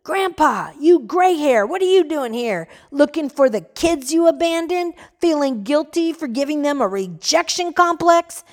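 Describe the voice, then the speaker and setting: A woman's voice: high-pitched, big pitch swings, normal speed, hardly any pauses, pitched above the performer's own voice. A woman voicing an enraged young man in his early twenties who screams and accuses. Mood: furious.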